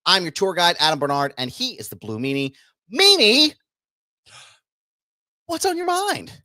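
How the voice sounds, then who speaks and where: Host, male, about forty, animated and upbeat, dry close mic. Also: sits high, normal speed, no echo. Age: 30s. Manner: upbeat, laughs easily. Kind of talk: casual chat.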